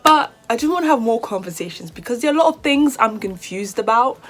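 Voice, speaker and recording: A woman in her twenties from Nigeria with a British accent, talking casually to camera as a hair vlogger, chatty and lively and sometimes flustered. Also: a little high, quick pace, faint music behind. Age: 20s. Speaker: beauty vlogger.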